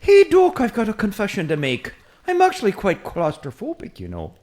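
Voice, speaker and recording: A woman voicing a chatty, excitable Irish priest, a short man who rambles eagerly in an Irish accent in a comic audio drama. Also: mid-range voice, normal speed. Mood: anxious. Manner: rambling, eager, easily distracted.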